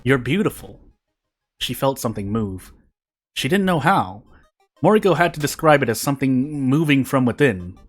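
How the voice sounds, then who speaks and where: A man reading a story aloud in deliberate phrases with short pauses. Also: medium pitch, lively intonation. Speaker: fan fiction reader on a video channel. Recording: music behind the voice.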